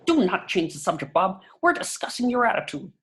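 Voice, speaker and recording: Woman acting petty pompous boss, swooping pitch. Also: sing-song, brisk, lightly acted. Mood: angry, commanding. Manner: pompous, clipped, condescending. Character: petty, fussy, tyrannical middle-aged male office boss. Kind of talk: amateur script table read.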